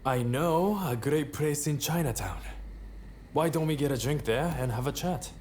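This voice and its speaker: An actor playing a bold, charming young samurai rebel leader in a video game cutscene, relaxed and self-assured. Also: male voice, a little high, normal speed, slight background noise, close to an everyday voice. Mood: easygoing, charming.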